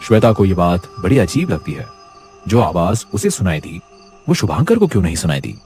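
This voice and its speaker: A man's voice: low-pitched, very animated, a little fast, a little mellow, faint music behind. A man narrating a scripted horror story as its storyteller.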